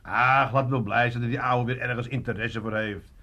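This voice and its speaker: An actor playing a grumpy, exasperated grown son in a comic radio play, full of sarcastic complaint. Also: male voice, medium pitch, fairly even intonation, moderate pace, sharp tone, dull audio. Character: grumbling, exasperated grown son in working-class Amsterdam family.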